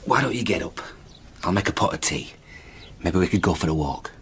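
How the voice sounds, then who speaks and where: An actor playing a worried, gentle husband in a radio drama, awkwardly coaxing and hesitant. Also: male voice, deep voice, lively intonation, brisk, slight background noise.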